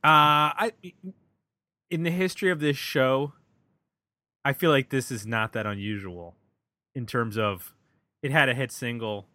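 A man with a mid-pitched, bright and crisp-edged voice hosts a casual music review chat. He is recorded dry, with no room sound around him. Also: unhurried, pauses often, no echo. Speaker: podcast host.

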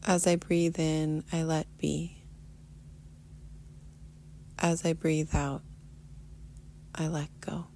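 A woman leading a guided meditation in a soothing, unhurried manner, often trailing into a breathy near-whisper. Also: low-pitched, flat delivery, dark tone, slight background noise. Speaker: guided meditation teacher, podcast host. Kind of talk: guided meditation, soft instruction.

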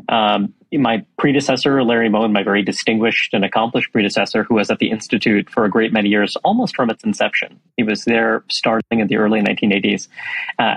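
A man's voice: on the low side, fairly bright tone, slightly rough, dry recording, poor audio. A man from Brooklyn, New York, heading a think tank, talking in an interview with many fillers and restarts. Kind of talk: conversational interview.

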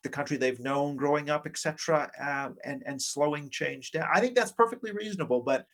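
A middle-aged man gives thoughtful, measured interview answers in an academic, hedging manner. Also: fairly high pitch, a bit bright. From Vancouver, Canada, and partly Asia. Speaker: interview guest, politics professor.

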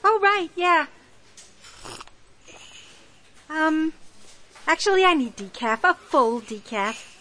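A woman's voice: high-pitched, lively intonation, measured pace, sharp tone, a little hiss or hum, exaggerated voice. A woman playing a flustered, sardonic young woman on a date in a romantic comedy audio play, stammering, apologetic and dry. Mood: flustered, polite.

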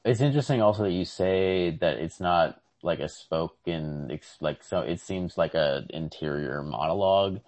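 Very deep-voiced man, halting delivery. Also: a little mellow, dry recording. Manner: halting, searching for words. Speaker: podcast co-host. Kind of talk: casual literary discussion.

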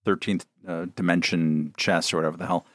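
A man co-hosting a podcast, talking in a halting, dry way full of fillers. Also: deep voice, level tone, a bit bright, dry recording. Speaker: podcast co-host. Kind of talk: casual political chat.

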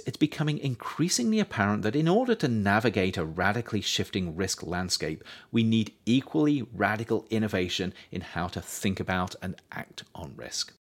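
A man reading his own nonfiction book aloud as scripted audiobook narration, with measured, emphatic phrasing. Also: on the low side.

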